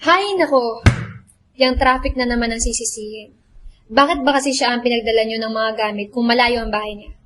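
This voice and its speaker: A woman acts as a stern, exasperated female teacher in a radio drama. Her voice is fairly high, with clear noise behind it. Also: fairly quick, lightly acted. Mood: scolding. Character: exasperated, stern female thesis defense panelist. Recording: clear background noise with clicks.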